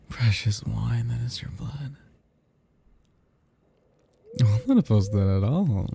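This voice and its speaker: A man's voice: fairly low pitch, gravelly, dark tone, a little hiss or hum, full character voice. A man playing a seductive, possessive vampire in an intimate ASMR roleplay, teasing and menacing, with archaic turns of phrase.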